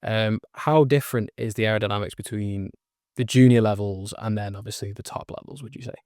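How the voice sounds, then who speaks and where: A man from the north of England asks questions in a somewhat low voice. He talks casually, with lots of fillers and restarts. The recording is dry and close. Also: steady tone, brisk, a little mellow, no echo. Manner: casual, hesitant, with many fillers. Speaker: interviewer, host. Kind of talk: interview questions.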